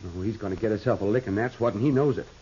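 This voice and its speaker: A man's voice: fairly low pitch, rapid-fire, warm tone, lightly acted. A man playing a surly, defiant young ranch lad in a 1950s radio western, with a rural American accent and curt, slangy talk. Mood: sullen. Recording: dull, noisy 1950s radio recording.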